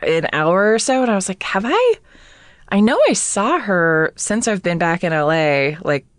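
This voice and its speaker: A woman in her thirties co-hosting a casual chat, talking in quick bursts with frequent restarts and laughter. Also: fairly low pitch, sing-song, no echo, studio quality. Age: 30s. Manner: chatty, laughs often, many restarts. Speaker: podcast co-host.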